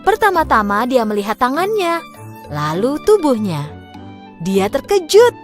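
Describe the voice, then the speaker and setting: A woman narrating a scripted fairy tale for children in an expressive, clearly phrased way. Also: sits high, unhurried, soft background music. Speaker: storyteller.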